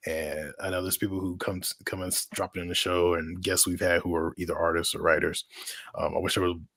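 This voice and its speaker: A man's voice: sits low, level tone, brisk, gravelly, a bit bright, dry recording. A man co-hosting an open chat show, talking casually with lots of laughter and restarts. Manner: laughs often, rambling restarts. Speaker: podcast co-host.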